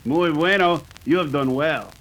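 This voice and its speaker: An oily Mexican bandit chief in the manner of 1930s radio westerns. His voice sits fairly high for a man, with formal, gloating phrasing and a put-on Mexican accent. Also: unhurried, fairly bright tone, slight background noise, poor audio. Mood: cool commanding.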